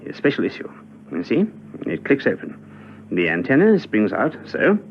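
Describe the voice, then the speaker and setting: A precise, officious intelligence man, pedantic and humorless, with clipped words and lively intonation. It is a radio play on a dull, slightly noisy old recording. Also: lowish voice, normal speed. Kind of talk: radio drama acting.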